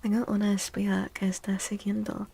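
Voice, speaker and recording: A woman speaking in soft, hushed, breathy ASMR style, often slipping into whispers, chatting playfully with livestream viewers. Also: mid-range voice, monotone, fast. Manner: playful, teasing. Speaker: ASMR streamer and tarot reader.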